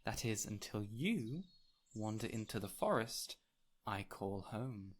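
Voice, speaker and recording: A man playing an ancient, whimsical fey spirit in an audio roleplay, speaking in a gentle, courtly, theatrical way with lingering pauses. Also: lowish voice, lively intonation. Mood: wistful. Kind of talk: audio roleplay addressed to the listener.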